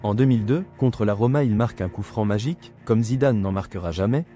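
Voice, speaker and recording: Combative man lecturing, dark voice. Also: on the low side, fairly quick, faint music behind. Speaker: video commentator. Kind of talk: scripted rebuttal monologue.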